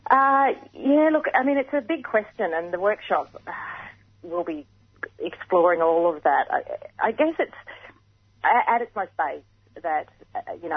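Woman, radio guest on the phone, husky morning rasp, dry dull sound, thinks aloud. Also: on the low side, no room sound. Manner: thoughtful, repeats words while thinking. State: gravelly morning voice. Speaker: guest writer. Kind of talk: radio interview.

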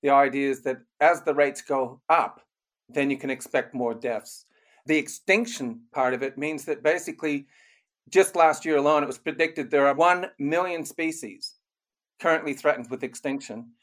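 An older man raised in Canada, an author and criminologist, giving measured, explanatory interview answers. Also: a little high, a bit bright.

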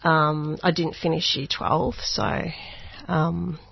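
A woman's voice: low-pitched, fairly even intonation, takes it slowly, vocal fry, breathy edge, dry recording, dull audio. A woman in her forties with an Australian accent, a musician guest talking casually and hesitantly, with many ums and likes. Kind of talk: casual interview.

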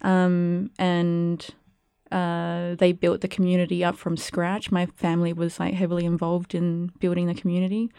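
A woman's voice: on the low side, completely flat delivery, moderate pace, vocal fry, mellow, clean tone, no echo, studio quality. A young Australian woman, a guest whose first language was Spanish, answering interview questions in a reflective way with many ums and likes. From Perth, Western Australia. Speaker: guest, arts curator and producer. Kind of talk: casual interview.

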